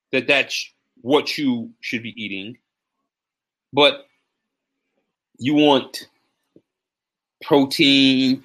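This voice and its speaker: A man in his forties with a bright, forceful voice, chatting casually on a live stream. He coaches on fitness and food, stumbles and repeats words, and greets viewers as he goes. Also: on the high side. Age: 40s. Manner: animated, repeats words, gives shout-outs.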